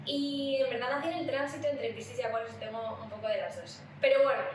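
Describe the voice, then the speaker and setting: A woman's voice: on the high side, fast talker. A woman with a Spanish accent from Spain, chatting casually and playfully as a podcast co-host. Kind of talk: casual chat. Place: room at home. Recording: very echoey room, distant mic, faint noise.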